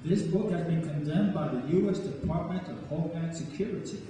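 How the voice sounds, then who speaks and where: A man's voice: on the high side, fairly quick, warm tone. A middle-aged man, a poet performing and talking to an audience from a stage. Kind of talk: live spoken-word performance with informal remarks to an audience. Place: campus event hall, live audience. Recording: echoey hall, distant mic, faint noise.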